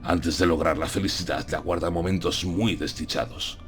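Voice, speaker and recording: Man acting a deep, grave old vampire-hunting professor. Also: steady tone, a bit bright, faint music behind. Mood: sorrowful. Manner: solemn, didactic, deliberate phrasing. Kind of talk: dramatised audiobook acting.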